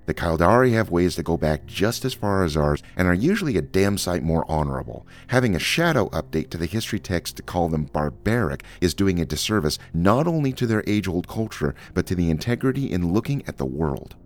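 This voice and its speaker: A man's voice: deep voice, big pitch swings, a little fast, hardly any pauses, pitched above the performer's own voice. A man voicing a bitter, sardonic male history teacher who lectures his class with scorn. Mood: lecturing.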